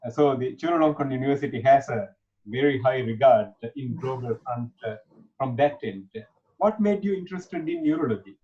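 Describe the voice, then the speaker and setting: A man's voice: mid-range voice, animated, fairly quick, breathy edge, muffled recording. A middle-aged man from Sri Lanka, hosting a formal online interview, courteous and hesitant with frequent "uh" fillers. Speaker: interviewer, chair of a brain awareness campaign.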